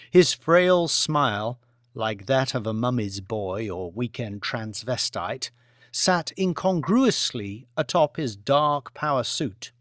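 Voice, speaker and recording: A man narrating a scripted novel as an audiobook in a deliberate, theatrical manner. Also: medium pitch, dry recording, studio quality. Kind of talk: scripted dramatic fiction narration.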